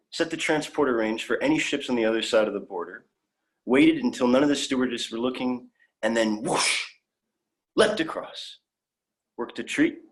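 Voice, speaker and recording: A man's voice: medium pitch, natural voice, poor audio. A man acting an eager, naive young alien visitor in a sci-fi drama, wide-eyed and blithe. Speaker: actor. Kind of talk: dramatic fan fiction performance.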